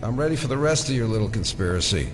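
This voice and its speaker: Captive federal agent, American accent, wry, defiant, sardonic asides, audio drama, prominent music behind. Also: male voice, lowish voice, big pitch swings, fast talker.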